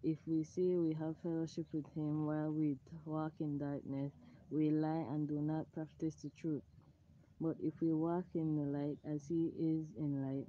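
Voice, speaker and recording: Very bright, low-voiced woman reading scripture. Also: lo-fi sound. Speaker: scripture reader.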